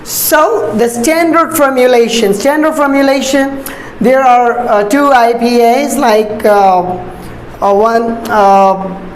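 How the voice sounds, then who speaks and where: Slow-explaining woman teacher. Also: fairly high pitch, slight background noise. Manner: slow, deliberate, repeats points with fillers. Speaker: ESL instructor. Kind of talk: classroom-style explanation. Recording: echoey room.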